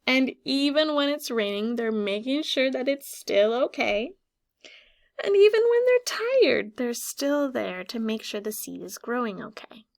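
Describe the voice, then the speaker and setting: A woman with a fairly high voice leads a picture-book read-aloud for young children. She speaks like a teacher, expressively and clearly instructive, drawing out key words and putting questions to her listeners with pauses for them to think.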